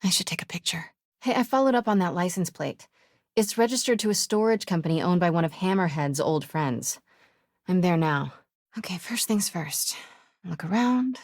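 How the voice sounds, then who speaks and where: Young american reporter gal from a video game, determined, soft breathy voice, super dry close recording. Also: female voice, fairly low pitch, dry recording. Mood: quietly businesslike. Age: young adult. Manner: hushed, self-talking, breathy.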